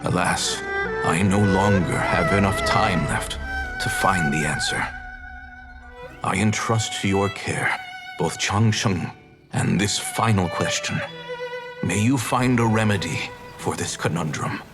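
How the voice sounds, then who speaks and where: An actor playing a dying elderly physician in a game, speaking in a solemn, grave way. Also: male voice, sits low, huge pitch swings, slow, heavily acted. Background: game score music.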